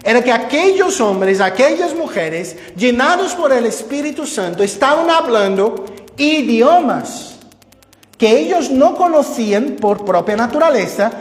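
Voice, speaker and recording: A man's voice: extremely high pitch, sing-song, a little slow. Echoey Portuguese-native man preaching. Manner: teaching tone with conversational asides and personal examples. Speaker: pastor. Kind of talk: expository sermon. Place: church sanctuary. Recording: echoey church hall with long reverb.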